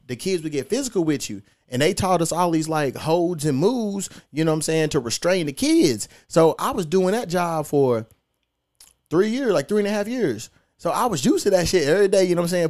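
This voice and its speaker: A man's voice: a little high, lively intonation, fairly quick, a little mellow. A young man, hosting a podcast and telling stories in a laid-back, profane, slangy way. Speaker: podcast host. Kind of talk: casual podcast storytelling.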